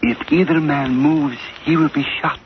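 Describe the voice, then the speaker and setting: An actor playing a cold, commanding villain in 1940s radio style, giving deliberate, formally phrased orders. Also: male voice, a little high, fairly even intonation, natural voice. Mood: cold menace. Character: menacing male villain Abed, cold and commanding. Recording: muffled, hissy vintage radio recording.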